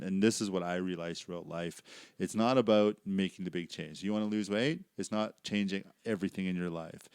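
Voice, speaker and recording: A male podcast host with a loud voice, chatting eagerly and sharing his own stories with his guest. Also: low-pitched, smooth, no echo. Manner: loud, chatty, eager, affirms often with "yeah". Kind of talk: casual interview chat.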